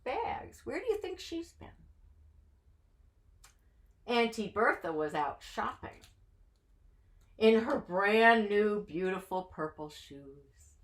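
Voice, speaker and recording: Slow, animated woman reading to children. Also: medium pitch. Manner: expressive, asks questions of young listeners. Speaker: children's story reader.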